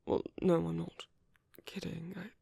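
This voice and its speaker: A man playing a gentle, shy British vampire priest in a soft, intimate ASMR roleplay, with breathy whispering and a hesitant, tender manner. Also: fairly high pitch, flat delivery, a little slow, no echo, exaggerated voice. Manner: hesitant, flustered, tender, formal wording.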